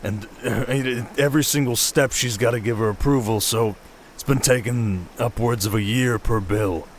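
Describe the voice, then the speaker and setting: A man's voice: mid-range voice, moderate pace, a little hiss or hum, lightly acted. A man playing a chummy, scheming oni aide in a fantasy audio roleplay, griping in casual, colloquial talk. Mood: amused griping.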